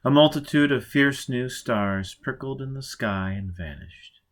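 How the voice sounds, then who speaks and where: A man's voice: medium pitch, expressive. Man narrating an audiobook dramatically. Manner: measured, dramatic pacing with rhythmic pauses. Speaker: audiobook reader.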